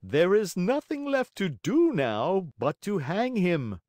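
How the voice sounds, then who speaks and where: A man's voice: high voice, sing-song, slow, darkish tone, no echo, clean studio sound, pitched above the performer's own voice, exaggerated voice. A man voicing two cruel male assassins who speak in growling, threatening taunts. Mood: menacing mocking.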